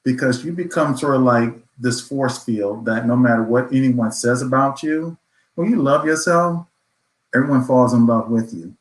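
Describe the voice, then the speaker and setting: A man's voice: medium pitch, moderate pace. A man speaking as a panelist in a reflective discussion, earnest, affirming and motivational. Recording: roomy echo.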